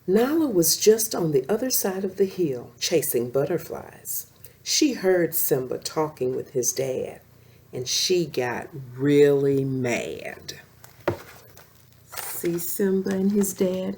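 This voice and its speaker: Slow, expressive, mellow woman storyteller. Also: lowish voice, gravelly, a little hiss or hum. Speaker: children's storyteller and host. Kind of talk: expressive read-aloud storytelling for young children.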